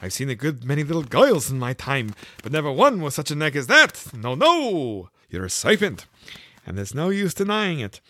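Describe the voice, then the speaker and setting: A man voicing an agitated, fussy female pigeon guarding her nest, who scolds loudly. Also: sing-song, moderate pace, dry recording, heavily acted. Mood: scolding. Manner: shrill, scolding, loud.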